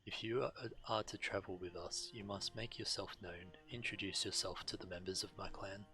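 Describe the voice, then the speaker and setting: A man's voice: low-pitched, fairly even intonation, moderate pace, pushed and bright, faint music behind. A man reading game dialogue aloud on a stream, now and then stumbling over words.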